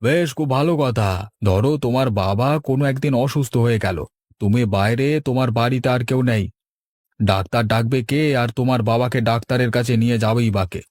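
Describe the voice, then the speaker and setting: A man's voice: medium pitch, a little fast, a little mellow, no echo, studio quality, natural voice. A man playing an earnest middle-aged village uncle in a cartoon, explaining things in a persuasive, avuncular way. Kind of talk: Bengali cartoon dubbing.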